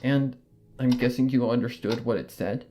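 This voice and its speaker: A man narrating a first-person horror fanfiction in a measured, brooding way. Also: mid-range voice, warm tone, faint music behind.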